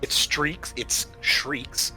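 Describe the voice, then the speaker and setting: A man acting as game master, halting and informal as he explains rules and narrates a tabletop roleplaying game. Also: fairly high pitch, sharp tone, low-quality recording. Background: music.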